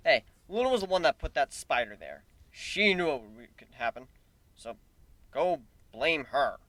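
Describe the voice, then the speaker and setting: A man acts out a wry, wisecracking hero of a fantasy tale. His voice is high and jumps about animatedly, dry and close to the listener over music. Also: big pitch swings, moderate pace, fairly bright tone, no room sound. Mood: defensive.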